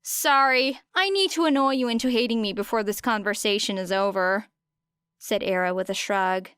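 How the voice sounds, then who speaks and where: Defiant, snarky girl performed by a woman, goading and dry, crystal-clear tone, close dry audiobook recording. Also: mid-range voice, moderate pace, a bit bright, no echo, close to an everyday voice. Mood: cheeky, dry. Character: cocky, sarcastic young girl, goading and defiant. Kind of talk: audiobook character dialogue.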